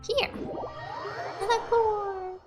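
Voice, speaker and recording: Very slow, high-voiced woman gamer. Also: steady tone, over music. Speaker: gamer doing let's play. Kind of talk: casual gameplay chat.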